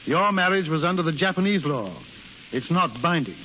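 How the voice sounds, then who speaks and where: An actor playing a kindly, uneasy American consul who speaks gently and formally in a 1930s radio drama. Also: male voice, a little high, sing-song, moderate pace. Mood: reluctant. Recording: hissy, muffled 1930s radio recording.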